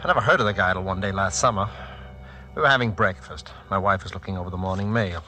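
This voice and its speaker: Blunt suspicious husband, hard bright voice. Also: male voice, deep voice, quick pace, soft background music. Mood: brooding, confessional. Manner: plainspoken, sardonic. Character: blunt, suspicious husband narrating his own murder story. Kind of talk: 1940s radio drama acting, first-person narration.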